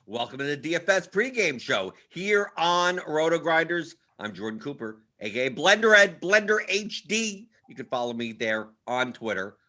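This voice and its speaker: Casting: man, early forties, livestream host. Forceful, swooping delivery, repetitive mock-grumbling banter. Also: fairly high pitch, sing-song, normal speed, hardly any pauses. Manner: self-deprecating mock complaining, repeats himself, tags sentences with "right?". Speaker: show host. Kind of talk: casual livestream sports show banter. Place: indoors at a computer on a split-screen video call.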